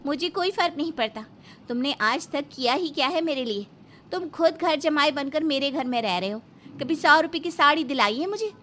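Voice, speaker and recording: An indignant Indian wife as a woman narrator acts her in a cartoon family story. The voice is pitched up and outspoken, insistent in its delivery. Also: expressive, normal speed, fairly bright tone, slight background noise. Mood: scornful. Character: indignant, outspoken Indian wives and daughters of household. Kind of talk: acted storybook dialogue.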